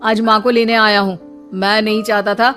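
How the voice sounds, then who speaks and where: A woman's voice: medium pitch, flat delivery, normal speed, a bit bright, soft background music, close to an everyday voice. A woman storyteller voicing a resentful, stern grown man, an accusing elder brother. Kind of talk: storybook character acting.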